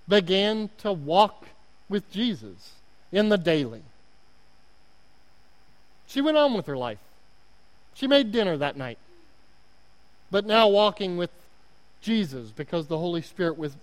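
A man preaching a sermon in a large sanctuary, his voice high and light. He tells the story slowly and deliberately, with pauses between phrases. A long reverb from the hall trails after his words. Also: sing-song.

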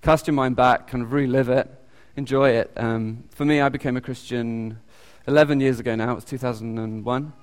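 A British man preaches in a church hall, and his voice rings with a long, echoey reverb. His pitch sits in the middle range for a man. He speaks haltingly and often pauses on ums as he searches for his words. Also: steady tone, clear, resonant tone. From United Kingdom. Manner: halting, many ums and restarts. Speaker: lay preacher. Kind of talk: informal sermon with audience questions.

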